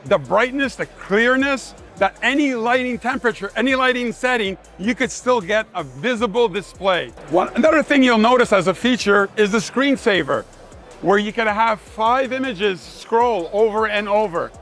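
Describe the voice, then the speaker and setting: High-pitched man pitching a product. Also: animated, moderate pace, a bit bright, faint music behind. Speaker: sales manager presenting a product. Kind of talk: live product demonstration pitch. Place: trade show demonstration.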